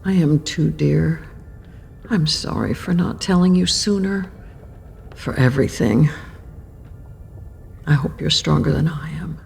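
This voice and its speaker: An actress playing a solemn, ageless female keeper of death in a horror audio drama, gentle but resolute, with a gravelly, deliberate delivery. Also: sits low, full character voice. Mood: tender, regretful. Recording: faint music underneath.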